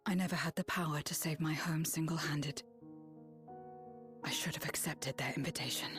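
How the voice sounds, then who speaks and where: A woman voicing a guarded, lonely young woman warrior in a game cutscene, speaking in a subdued, breathy half-whisper. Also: deep voice, soft background music, close to an everyday voice. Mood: somber. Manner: subdued, reflective, measured. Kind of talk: video game cutscene dialogue.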